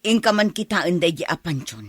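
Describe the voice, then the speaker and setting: An aging Ilocano aunt in a radio drama. Her voice sits low and husky, recorded close and dry. She speaks as a lonely single woman seeking an old flame. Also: animated, a little fast, a bit bright, dry recording. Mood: puzzled. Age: older.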